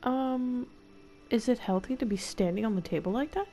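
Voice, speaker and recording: A woman's voice: a little high, moderate pace, mellow, a little hiss or hum. Slangy, wry woman streaming a game. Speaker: gameplay streamer. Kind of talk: casual live gameplay commentary.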